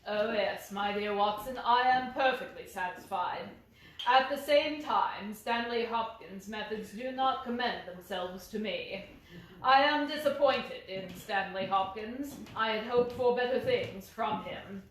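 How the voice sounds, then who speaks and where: A woman voicing a brisk, exacting, commanding male detective in a story reading. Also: medium pitch, a bit bright, slight background noise, natural voice. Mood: measured disapproval. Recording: very echoey room.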